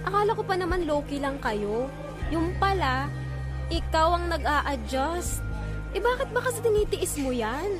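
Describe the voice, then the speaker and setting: A woman's voice: extremely high pitch, big pitch swings, a little slow, heavily acted. A young woman playing a blunt, nosy but caring best friend, sassy and slangy, in an audio drama. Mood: incredulous, teasing. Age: young adult. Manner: sassy, slangy girl talk. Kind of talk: casual conversational audio drama acting. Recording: loud music under the voice.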